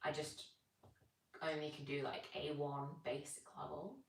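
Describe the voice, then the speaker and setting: Chatty young woman, low voice, roomy. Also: little pitch movement, measured pace, vocal fry. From Britain. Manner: chatty, rambling, reads out viewer comments. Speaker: stretching coach live-streaming. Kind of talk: casual live-stream chat while stretching. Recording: echoey room, distant from mic.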